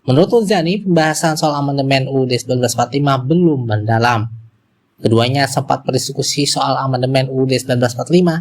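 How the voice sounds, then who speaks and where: Indonesian man reading scripted news. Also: mid-range voice. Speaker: news presenter.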